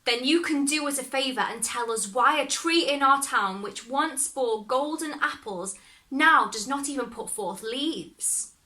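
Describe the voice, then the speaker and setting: Woman as an earnest, bright-voiced gatekeeper. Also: high-pitched, lively intonation, unhurried, voice pushed up, exaggerated voice. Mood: earnest pleading. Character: earnest male town gatekeeper pleading for help.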